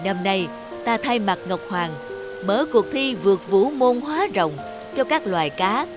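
A woman voicing a stern, dignified old male heavenly messenger in an animated fairy tale, formal and commanding. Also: medium pitch, measured pace, over music, lightly acted. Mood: solemn, announcing.

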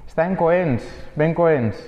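A young priest from Spain, speaking with a Spain Spanish accent, gives a conversational homily at Mass, full of rhetorical questions and little tag words. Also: male voice, fairly high pitch, animated, slow pace, a little mellow. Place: church. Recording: dull, lo-fi audio with long church reverb.

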